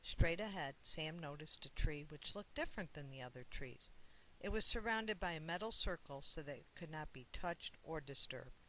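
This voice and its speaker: Children's book narrator, author's own read: bright tone, scripted storytelling, light hiss. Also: mid-range voice, expressive, normal speed, rough recording.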